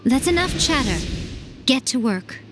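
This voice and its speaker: Sly, composed fox shrine familiar. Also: female voice, high-pitched, sing-song, noisy background. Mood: brisk. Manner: teasing, unhurried, knowing, condescending. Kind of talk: video game voice acting.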